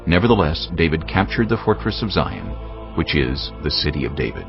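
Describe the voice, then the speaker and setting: Extremely deep, gravelly male narrator. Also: sing-song. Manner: solemn, measured storytelling. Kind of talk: scripted scripture narration. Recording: dull, lo-fi audio. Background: faint music.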